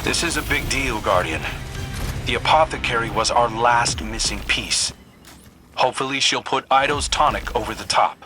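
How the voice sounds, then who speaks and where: An actor playing a confident military mentor in a video game, brisk and matter-of-fact. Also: male voice, medium pitch, over music, close to an everyday voice. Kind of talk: video game mission dialogue.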